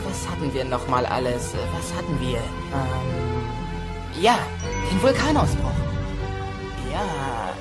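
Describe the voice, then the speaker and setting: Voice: medium pitch, animated, takes it slowly, loud music behind the voice. An actor voicing an eager, sarcastic teenage dinosaur son with a youthful voice in a cartoon dub. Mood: excited. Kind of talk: cartoon dubbing.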